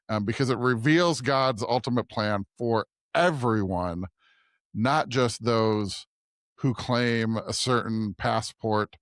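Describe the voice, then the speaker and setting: An American man of average pitch speaks as a podcast co-host in relaxed conversation about faith. His delivery is thoughtful and halting, often searching for the right word. The recording is dry, with little room sound. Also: dry recording. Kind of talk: conversational discussion of scripture.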